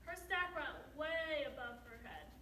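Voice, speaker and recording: A woman's voice: high voice, sing-song, measured pace. A female narrator reading scripted lines of a story aloud in a readers theater. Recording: echoey room, distant mic, loud background noise.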